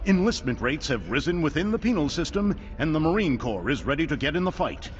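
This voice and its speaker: An actor playing a pompous, slick propaganda news anchor in a video game, speaking in emphatic broadcast style. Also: male voice, fairly high pitch, sing-song, a little fast. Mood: bombastic. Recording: slightly dull broadcast, faint music.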